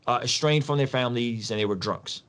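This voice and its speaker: A man's voice: medium pitch, fairly quick, fairly bright tone. A man from Soviet Russia, a podcast guest explaining things in an emphatic, casual, slangy way, repeating phrases and posing rhetorical questions.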